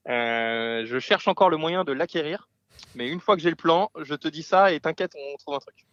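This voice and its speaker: Male caller, slightly high pitch, casual joking chat, dry phone-call sound from a car. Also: moderate pace, a bit bright, no room sound. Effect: voice heard through a phone call. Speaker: phone caller, friend of the host. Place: inside a car. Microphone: smartphone on a call.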